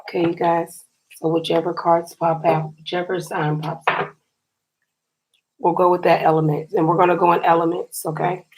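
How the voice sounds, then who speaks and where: A woman with a low, fairly monotone voice that sounds slightly scratchy and raspy today. She reads tarot cards for her viewers in a chatty, encouraging way and often says "you guys." A steady hiss of noise sits under the recording. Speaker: tarot card reader. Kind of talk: casual tarot reading for viewers.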